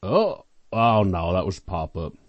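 A man plays a laid-back technician in a comic audio drama. His voice sits fairly low and moves very slowly. He rambles casually and drifts off, a bumbler who is easily distracted. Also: sing-song, lo-fi sound, noisy background. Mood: rambling, distracted. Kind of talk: comedic audio drama dialogue.

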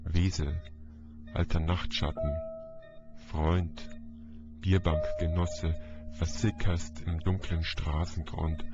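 A man's voice: sits low, flat delivery, takes it slowly, pauses often. A man voicing an exultant, vicious murderer who gloats over his victim, in a dramatic audiobook reading. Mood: gloating. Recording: dull, band-limited recording. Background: music.

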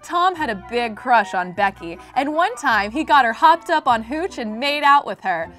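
A young American woman with a fairly high voice narrates like a storybook reader gone cheeky. She is sardonic and irreverent and happy to swear, with light music behind her. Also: expressive, moderate pace, fairly bright tone. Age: 20s. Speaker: host reading stories.

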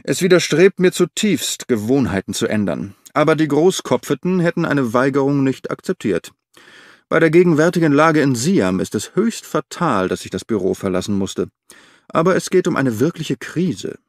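A man voicing an imperious, heavyset older man, Holmes's brother, speaking gravely in a dry recording. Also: medium pitch, expressive, moderate pace, dry recording, close to an everyday voice. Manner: grave and weighty.

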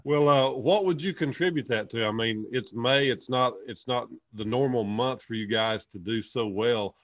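A man in his forties hosting a podcast interview over a video call, upbeat in his intro and relaxed when asking questions. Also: medium pitch, normal speed, dull audio. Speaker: podcast host. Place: small indoor room.

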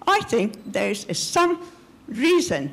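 An older woman with a Turkish accent, speaking haltingly from the audience of a lecture theatre into a handheld microphone. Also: on the high side, wildly swooping pitch. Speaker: audience member asking at conference. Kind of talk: halting spontaneous speech to a panel. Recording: clearly noisy lecture hall.

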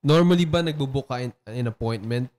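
A man's voice: medium pitch, brisk, darkish tone. A man hosting a casual podcast chat in a barbershop studio, asking questions, echoing the guest and swearing casually. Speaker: host.

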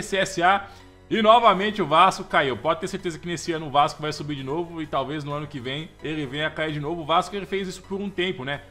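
A man with a Brazilian accent giving slangy, casual commentary on a football game he is playing. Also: fairly high pitch. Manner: slangy, chatty, keeps saying "mano" and "velho"; gets loud and excited over the crowd. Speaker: gameplay commentator. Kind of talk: casual let's-play commentary.

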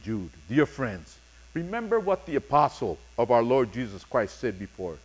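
A middle-aged man preaching a sermon as pastor, reading scripture and speaking deliberately in short, paused phrases. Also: medium pitch, big pitch swings, rough recording. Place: church sanctuary, speaking from a pulpit on stage.

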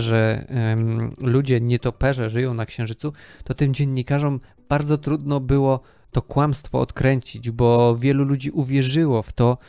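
Man, thirties, podcast host, book-review talk, crisp resonant voice, very dry sound. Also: medium pitch, darkish tone, no echo.

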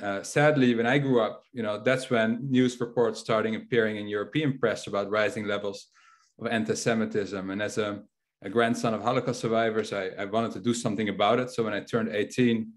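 A man in his thirties from the Netherlands with a Dutch accent, speaking plainly as a guest on a video call, often restarting his phrases. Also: on the low side. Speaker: security organization executive.

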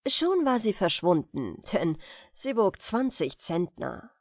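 A woman narrating a comic folk tale for an audiobook. She takes her time, with deliberate pauses and very lively intonation. The sound is close and dry. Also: mid-range voice, dry recording. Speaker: audiobook reader. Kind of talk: expressive scripted storytelling of comic folk tales.

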